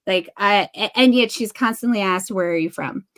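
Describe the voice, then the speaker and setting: A woman's voice: mid-range voice, moderate pace, a bit bright. A woman co-hosting a podcast who talks in a casual, thoughtful way, reasoning aloud and often restarting her sentences. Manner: thoughtful, reflective, with frequent restarts. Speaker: podcast co-host. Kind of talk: casual conversation.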